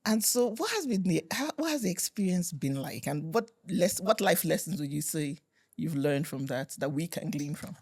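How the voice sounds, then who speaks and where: A woman's voice: low-pitched, expressive, moderate pace, a little mellow, breathy edge, no room sound, studio quality. A woman hosting an interview in a friendly, affirming way, with frequent backchannel murmurs. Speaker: interviewer host. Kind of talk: conversational interview.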